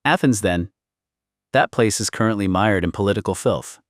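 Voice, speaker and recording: Man as a grave, world-weary guardian, fairly deep. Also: moderate pace, no room sound, clean studio sound, close to an everyday voice. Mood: grave, resigned. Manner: solemn, formal.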